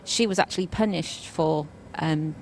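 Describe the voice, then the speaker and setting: Woman with a really low voice, hesitant, faint noise around. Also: moderate pace. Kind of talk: casual chat.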